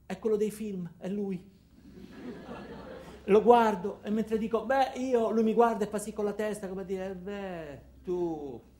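A man's voice: high voice, measured pace, warm tone, a little hiss or hum. An actor playing an anxious, bewildered ordinary man who stammers through a theatrical radio monologue in speech. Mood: flustered. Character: anxious, bewildered ordinary man recounting his own trial. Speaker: narrator and lead actor. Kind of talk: theatrical radio monologue acting out several characters.

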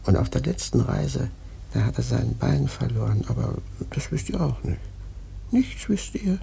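An actor playing a ragged, half-mad sailor prophet in a radio play, raspy and creaky, taunting and cryptic. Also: male voice, mid-range voice, lively intonation, normal speed, warm tone, a little hiss or hum. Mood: bitter, agitated. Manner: taunting, cryptic, erratic muttering. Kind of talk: German radio play acting.

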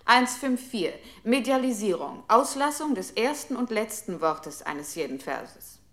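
A woman's voice: fairly high pitch, big pitch swings, measured pace, fairly bright tone, heavily acted. A woman playing a computer's control voice in a radio play, giving clipped, exact commands in a strict, impersonal manner. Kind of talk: 1960s German experimental radio play.

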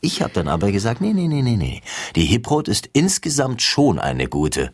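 A man's voice: on the low side, huge pitch swings, normal speed, husky, exaggerated voice. An actor playing a chatty, smug male radio host in a satirical interview, wordy and theatrically emphatic.

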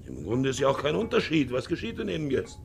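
An actor playing a hard, brooding ageing ship's captain in a 1950s radio drama, shifting between muttering and barking. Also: male voice, medium pitch, wildly swooping pitch, fast talker, gravelly. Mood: bitter, self-justifying. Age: older. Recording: 1950s radio recording, faint music.

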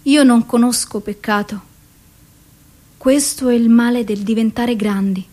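A broken, suicidal woman delivering a poetic radio play monologue. Her voice sits fairly high and moves very slowly. Each short phrase is weighed and intense, heavy with despair. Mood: resigned, haunted. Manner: deliberate, intense, short measured phrases with pauses.

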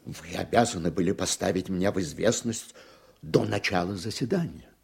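An actor in a radio play playing a stern, easily indignant judge who speaks formally and with heavy emphasis. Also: male voice, deep voice, lively intonation, moderate pace, gravelly, a little mellow, slightly rough, full character voice.

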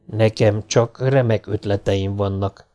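A man's voice: medium pitch, lively intonation, a little mellow, lightly acted. A man voicing a self-satisfied father who explains and reasons confidently in a storybook. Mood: smug, persuasive.

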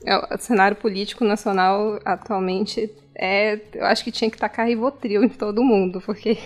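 A woman's voice: mid-range voice, steady tone, normal speed, soft background music. A Brazilian woman co-hosting a podcast, making casual, wry commentary in an informal conversational way. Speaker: podcast co-host.

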